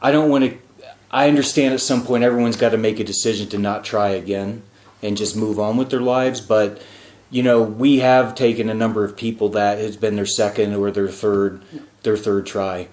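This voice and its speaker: A man's voice: lowish voice, steady tone, moderate pace, a little mellow, roomy sound. A man hosting a casual talk show, explaining things in a chatty way with frequent fillers. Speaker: radio show host and diplomat.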